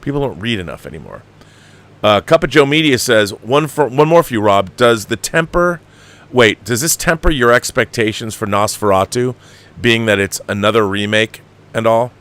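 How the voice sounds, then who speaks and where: A man hosting a film commentary livestream, talking casually and bluntly in an emphatic, halting, opinionated way, repeating himself for emphasis. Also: medium pitch, animated, fairly bright tone. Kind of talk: casual opinionated rant, answering viewer comments.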